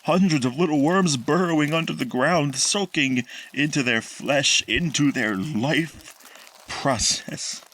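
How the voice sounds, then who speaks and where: Man acting a slow, haunted, despairing scientist. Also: on the high side, a bit bright. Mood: horrified, haunted. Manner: halting, repetitive, melodramatic. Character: guilt-ridden, horror-struck scientist, despairing and losing his mind. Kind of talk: 1940s radio horror drama monologue.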